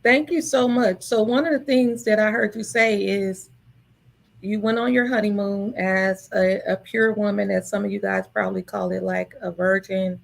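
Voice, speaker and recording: Host, woman, very gravelly, husky voice, warm affirming interviewer. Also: medium pitch, steady tone, normal speed. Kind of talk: casual interview talk.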